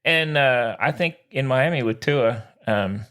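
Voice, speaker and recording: A man's voice: mid-range voice, a little slow, a bit bright. A man co-hosting a sports podcast, chatting casually in a folksy, jokey way with slangy exclamations. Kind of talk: casual sports chat, joking banter.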